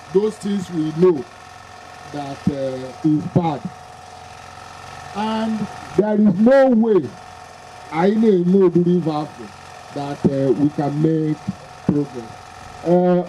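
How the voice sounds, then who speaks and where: A speaker gives a speech to a youth audience, talking slowly and hesitantly with many fillers. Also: medium pitch, dark tone, a little hiss or hum, rough recording. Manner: slow, hesitant, full of fillers and asides. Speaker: guest lecturer. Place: bright community venue with a projector.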